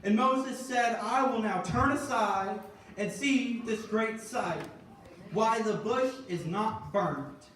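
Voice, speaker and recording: A man preaching in a church sanctuary, his voice unusually high for a man. It rings through a very echoey room and sits well back from the mic, with a congregation calling out responses. He shifts from measured reading to emphatic, building exhortation. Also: a bit bright, distant mic, slight background noise. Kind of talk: sermon.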